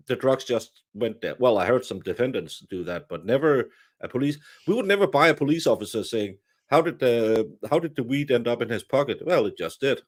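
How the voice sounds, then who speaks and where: Halting man giving opinions. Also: mid-range voice. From Denmark. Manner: halting, repeats words, frequent fillers. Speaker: guest commentator. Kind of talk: casual opinion chat.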